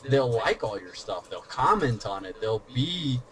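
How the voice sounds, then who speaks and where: A man in casual podcast chat, giving earnest, steady advice about growing a channel. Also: medium pitch, measured pace. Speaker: YouTube commentator.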